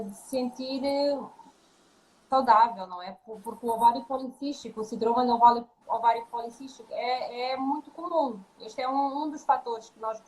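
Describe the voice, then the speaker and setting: A woman from Mozambique with speech, a naturopathic doctor talking over a live video chat, often restarting and filling pauses. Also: a little high, a little mellow, rough recording. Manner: hesitant, many restarts and fillers. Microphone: video call.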